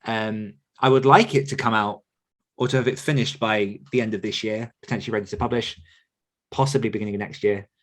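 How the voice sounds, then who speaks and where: Male podcaster and coach, lower register, reflective, earnest, conversational delivery that circles back on itself. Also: fairly even intonation, a little fast, a bit bright. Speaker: author coach and podcaster answering questions. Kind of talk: conversational question-and-answer talk.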